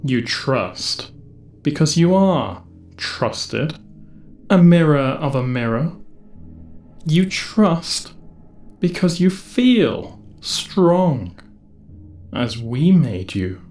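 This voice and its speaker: Ancient cosmic collective mind acted by a performer, solemn and cryptic, slow portentous phrasing, echoing over music. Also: mid-range voice, big pitch swings, darkish tone, exaggerated voice. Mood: cryptic, grave. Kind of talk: audio drama acting.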